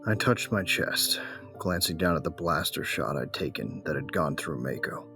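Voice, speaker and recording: A man's voice: a bass voice, expressive, moderate pace, vocal fry, over music, exaggerated voice. A man playing a grieving, embittered bounty hunter who narrates his own story in an audio drama, brooding and terse. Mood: anguished.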